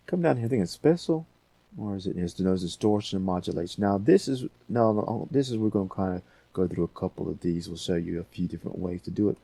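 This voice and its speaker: Male tutorial host, low-pitched and dark in tone, casual and rambling, explaining each step. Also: no room sound. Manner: rambling, thinking aloud. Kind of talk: casual software tutorial, talking through steps.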